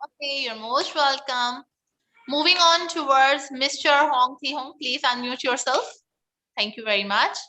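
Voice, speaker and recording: A woman's voice: high-pitched, measured pace, bright, forceful tone. A woman hosting an online award event in a courteous, formal manner. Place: online video call.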